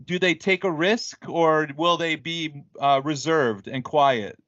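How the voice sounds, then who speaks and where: A man's voice: on the high side, slow, fairly bright tone, dry recording. An American man talking thoughtfully and measuredly in casual conversation, with light laughs. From United States. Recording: dull lo-fi, dry audio.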